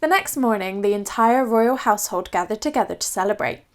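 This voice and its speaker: A woman storyteller reading a children's picture book aloud in an expressive, scripted style. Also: mid-range voice, fairly quick.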